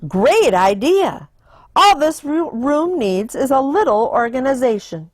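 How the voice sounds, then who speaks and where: Woman reading as a slow, sensible papa bear. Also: sits high, big pitch swings, dry recording, clean studio sound, heavily acted. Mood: helpful. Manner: measured, explanatory, firm. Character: father bear, sensible, firm, practical problem-solver.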